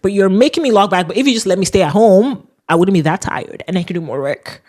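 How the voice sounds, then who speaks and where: Deep-voiced young woman ranting on her podcast, laughs a lot. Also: expressive, fast talker, a little mellow. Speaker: podcast host. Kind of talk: casual solo rant.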